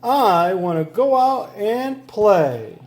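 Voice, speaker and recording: A man voicing an excitable, stubborn little boy frog for a storybook, whining and hollering in drawn-out cries. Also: high-pitched, pitched above the performer's own voice. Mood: whiny, protesting.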